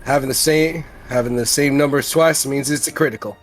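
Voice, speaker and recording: Mid-pitched man playing a tabletop game. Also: brisk, faint music behind. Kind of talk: casual chat and role-play at a game.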